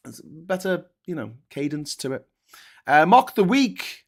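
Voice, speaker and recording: A man's voice: on the high side, sing-song, measured pace. A man hosting a casual morning livestream, reading comments aloud with sarcastic, rambling asides. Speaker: host.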